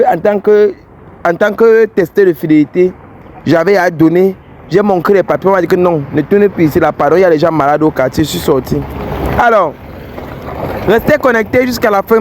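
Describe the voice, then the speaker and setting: A man with an English-speaking Cameroonian accent hosts a street confrontation vlog. His voice sits high for a man, and his manner is blunt and teasing. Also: a little fast, darkish tone, a little hiss or hum. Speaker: fidelity tester vlogger host.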